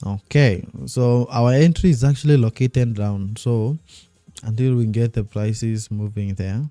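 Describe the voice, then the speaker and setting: A man streaming live trading commentary, thinking aloud and repeating himself while he watches the charts, his voice going a little hoarse. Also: mid-range voice, a little slow, dark tone, no echo, faint music behind. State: losing his voice.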